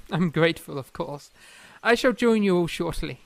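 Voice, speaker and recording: A man's voice: high-pitched, animated, moderate pace, vocal fry. A man playing a proud, fiery young eighteenth-century composer in a period audio drama, speaking formally and emphatically with theatrical flair. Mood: genial.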